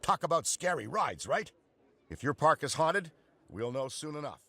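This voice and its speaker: Game guide, eager paranormal entrepreneur, higher pitch, very animated, chatty, salesmanlike. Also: male voice, moderate pace, breathy edge, heavily acted. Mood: upbeat, confiding. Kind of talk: scripted game briefing.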